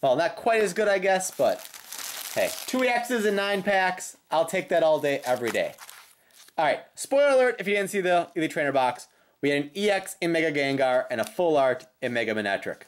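A woman with a slightly raspy voice doing casual, playful unboxing commentary with sing-song call-outs as a host. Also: low voice, very animated, brisk, slight background noise. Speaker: host of trading card pack openings. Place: indoors at a tabletop.

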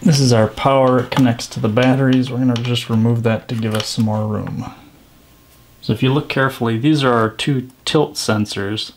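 A man's voice: medium pitch, fairly even intonation, moderate pace, slight background noise. A man hosting a DIY repair tutorial, explaining each step as he goes. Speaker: video host.

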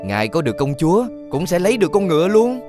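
A man voicing a clever, helpful talking fox in an animated fairy tale, coaxing and explaining. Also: sits high, fast, music in the background. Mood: scheming, reassuring.

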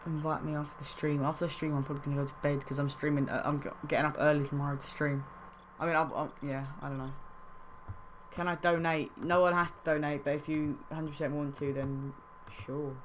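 A man's voice: fairly high pitch, level tone, fairly quick, slight background noise. A young man from Wales streaming a game trading session, chatting casually and reacting to his live chat.

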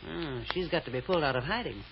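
A woman's voice: deep voice, lively intonation, fast talker. Bossy, scheming woman in vintage radio drama. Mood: calculating. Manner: commanding, clipped, sardonic. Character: shrewd, bossy woman scheming and controlling her tippling companion. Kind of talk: old-time radio drama acting. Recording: dull, faintly noisy old radio recording.

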